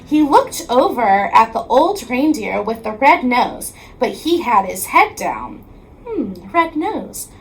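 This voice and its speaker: An American woman, a teacher, reading a children's storybook aloud with expressive narration. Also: a little high, measured pace, creaky, few pauses, rough recording.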